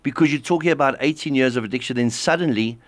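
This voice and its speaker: A male radio host with an ordinary middle-pitched voice. He reads a scripted introduction smoothly, then asks his guest direct, probing questions. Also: fast talker. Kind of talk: radio interview, reading an introduction.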